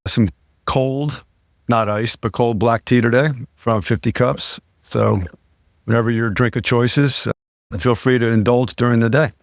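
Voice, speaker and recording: A man hosting a podcast, chatting casually and asking his guest relaxed questions. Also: mid-range voice, unhurried, gravelly, no echo, studio quality. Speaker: podcast host.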